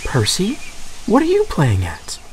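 A man's voice: on the high side, huge pitch swings, a little fast, slight background noise, voice pushed up, low-quality recording. Huffy, proud small engine, voiced by a male narrator. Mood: impatient. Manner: impatient and huffy. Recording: background music.

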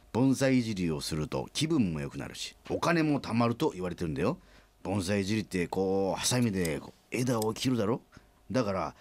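A man's voice: lowish voice, a little mellow. A man playing a rambling, jovial middle-aged small-factory president who loves his own puns, in a comic radio drama.

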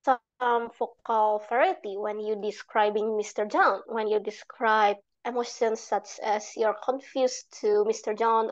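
A young woman with an Indonesian accent giving halting, simply phrased speech feedback as an evaluator on a video call. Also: medium pitch, darkish tone, muffled recording. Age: young adult. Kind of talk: spoken speech feedback at a club meeting.

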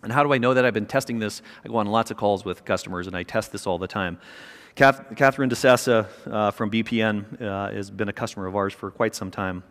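A middle-aged man giving an energetic, emphatic keynote speech on a large conference stage, with a small mic. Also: on the low side, fast talker. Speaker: keynote speaker, company chairman. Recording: long reverb of a large hall.

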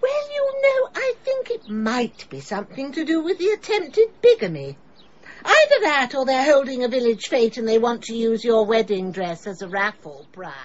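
Fussy landlady, comic radio play, high voice, extremely animated, sarcastic, prim. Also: moderate pace, muffled recording. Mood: prim sarcasm. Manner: prim, sarcastic, enumerating complaints. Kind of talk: comic radio drama acting.

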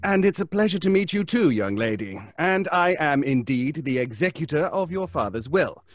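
Guy doing a polite wordy lawyer type, upbeat and formal, super lively pitch, radio play. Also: moderate pace, dry recording, studio quality, close to an everyday voice. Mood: courteous, formal. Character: polite, fussy, upbeat male estate lawyer. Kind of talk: audio drama acting.

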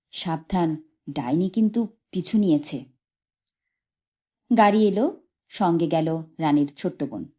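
A woman's voice: medium pitch, mellow. A woman narrating a short story as an audiobook reader, expressively and from a script.

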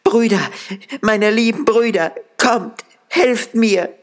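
A man's voice: sits high, slow, voice pushed up. A frightened young wife from a storybook, played by a male narrator. The voice is lifted well above his own, anxious and pleading. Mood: desperate calling.

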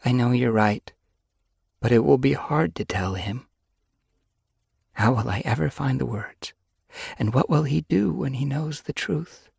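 A man's voice: mid-range voice, a little slow, gravelly, long pauses, a little mellow, breathy edge, dry recording, clean studio sound, pitched above the performer's own voice, heavily acted. A man voicing a young expectant mother cat in an audiobook story, anxious and defiant, with an earnest, emotional delivery. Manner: emotional, protesting.

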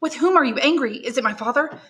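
A woman's voice: high-pitched, animated, quick pace, a bit bright, voice pushed up. A woman reading aloud and voicing a young woman, an earnest and worried granddaughter pleading with her grandfather. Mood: anxious.

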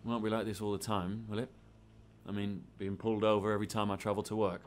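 A man's voice: lowish voice, flat delivery, fast, close to an everyday voice. A man playing a weary, dry-witted hospital doctor in a naturalistic audio drama. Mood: polite, weary.

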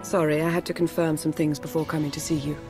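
An actress playing a cool, composed female intelligence operative in a video game, speaking in a measured, formal, understated way. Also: deep voice, little pitch movement, over music, natural voice. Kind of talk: scripted video game cutscene acting.

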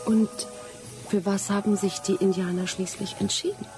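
An actress playing a curious young lady traveller, polite and gently mocking, in a German radio drama. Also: medium pitch, fairly even intonation, normal speed, music in the background, close to an everyday voice. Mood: intrigued.

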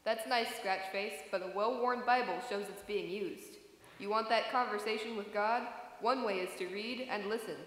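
A woman's voice: mid-range voice, normal speed, fairly bright tone, lightly acted. Woman playing a wry private eye, echoey hall. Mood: earnest, wry. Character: wry female private eye narrating her case. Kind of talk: old-time radio drama read aloud live. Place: church sanctuary stage. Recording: roomy church hall echo, noisy.

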